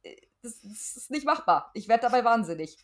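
A middle-aged woman with a fairly high voice. She chats casually as a podcast guest and speaks in a frank, emphatic way. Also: very animated, brisk. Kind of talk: casual chat.

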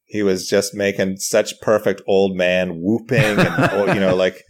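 Male podcast guest, very low register, informal storyteller who feels his way through sentences. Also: measured pace. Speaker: podcast guest, writer and comedian. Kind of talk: casual conversational anecdotes.

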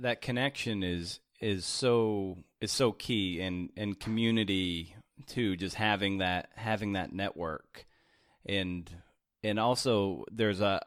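A man hosts a podcast interview in a lowish voice, circling toward his questions with fillers and restarts. His sound is close and dry, with no room around it. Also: dry recording. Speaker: podcast host.

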